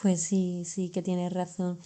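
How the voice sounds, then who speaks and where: Flat, mellow woman. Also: fairly low pitch, takes it slowly. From Andalusia, Spain. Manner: calm, gives everyday examples. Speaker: podcast co-host. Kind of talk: casual educational chat.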